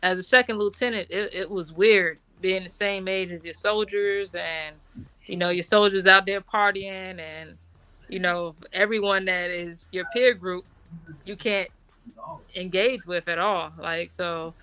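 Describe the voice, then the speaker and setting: A middle-aged woman from Washington, D.C., an Army officer and guest in a casual interview. She talks in a relaxed, chatty way, laughs often and uses many fillers. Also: fairly low pitch, unhurried, clear, resonant tone, no room sound.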